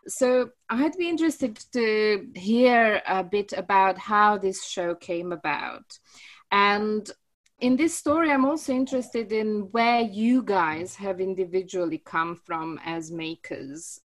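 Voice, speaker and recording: A woman's voice: medium pitch, slow. A woman interviewing theatre makers, asking long, winding questions with fillers. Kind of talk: discussion interview hosting.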